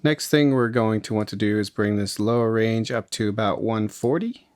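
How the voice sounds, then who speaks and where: A man narrating a casual, step-by-step music software tutorial in an informal, explanatory way. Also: fairly low pitch, moderate pace. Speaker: music production tutorial host.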